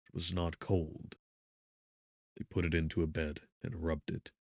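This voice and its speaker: Very deep-voiced man narrating gothic fiction. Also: dry recording. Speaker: audiobook narrator.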